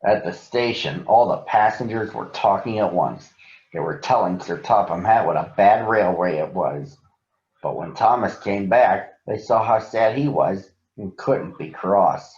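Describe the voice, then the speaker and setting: Man, fairly deep voice, children's storybook reading, muffled recording with room echo. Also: unhurried, a little mellow. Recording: roomy echo, dull sound.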